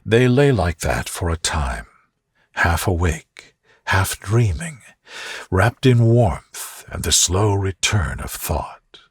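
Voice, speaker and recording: A man reading a bedtime sleep story in a soft, gentle, soothing manner. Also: low voice, very animated, takes it slowly, breathy edge, dry recording, studio quality. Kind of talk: soothing scripted bedtime narration.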